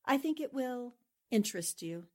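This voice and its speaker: An actress playing a cool, self-assured newspaper columnist in a 1940s-style radio drama, composed and articulate. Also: female voice, fairly high pitch, expressive, normal speed, a little mellow. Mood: cool, triumphant. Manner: composed, articulate, dryly confident.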